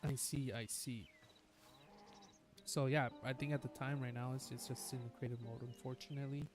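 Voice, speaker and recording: A talkative man in his gaming stream, chatting loosely in a middle-pitched voice with game music playing clearly behind him. He has a stubborn cough that keeps interrupting his easygoing commentary. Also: fairly even intonation, normal speed. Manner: chatty, rambling and upbeat. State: nagging cough. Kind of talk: casual gameplay commentary.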